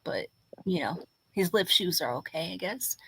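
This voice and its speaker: Congested female co-host, bright tense tone, emphatic and jokey. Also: lowish voice, lively intonation, moderate pace, creaky, breathy edge, rough recording. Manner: emphatic and playful. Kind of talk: casual chat.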